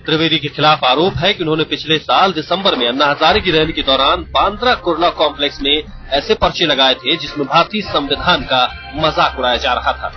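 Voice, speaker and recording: Male reporter voice-over, fairly high pitch, dramatic scripted delivery over prominent music. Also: a little fast. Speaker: news reporter. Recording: dull broadcast audio with music. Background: loud music.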